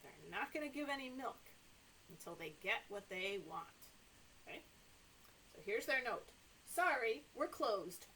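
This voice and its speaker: Animated middle-aged woman reading to toddlers. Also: a little high, a little slow, pauses often, slight background noise, low-quality recording. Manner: animated, exaggerated sing-song delivery for small children. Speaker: children's library story time host. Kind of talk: read-aloud story time for toddlers, talking to young children. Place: small indoor room with sofa and toys.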